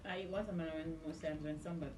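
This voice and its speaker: A woman's voice: low voice, fairly even intonation, very fast, warm tone. A woman with a Jamaican accent chatting casually as a guest on a vlog. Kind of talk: casual chat. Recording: echoey, distant mic, music behind. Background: clearly audible music.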